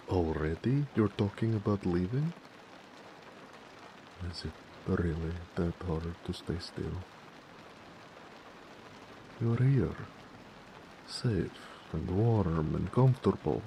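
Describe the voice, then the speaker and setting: Orc blacksmith lover, played by a man, gravelly and slow, earnest, tender, possessive; romantic audio roleplay over faint rain-like noise. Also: dark tone, full character voice, low-quality recording. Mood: worried, hurt. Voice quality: deep, gravelly.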